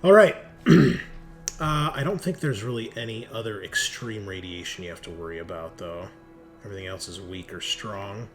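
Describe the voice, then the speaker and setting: A man's voice: on the low side, moderate pace. A man acting as game master, improvising narration for a tabletop horror roleplaying game in an informal way. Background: background music.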